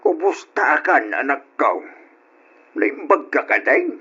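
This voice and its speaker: A man playing a kindly, pious older father who dotes on his daughter, spoken gently and emphatically as in old Ilocano radio drama. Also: a little high, sing-song, measured pace, soft background music, exaggerated voice. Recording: dull, lo-fi old radio recording.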